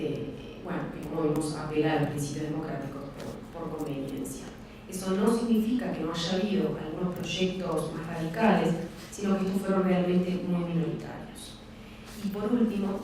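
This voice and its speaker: A woman with a Uruguayan accent speaks in a lowish voice from far off the mic. A heavy hall reverb carries her words, with clear background noise. Her talk is measured, with regional fillers. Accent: Uruguayan Spanish. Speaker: conference speaker. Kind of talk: academic talk. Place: conference hall. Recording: distant, echoey hall with noise.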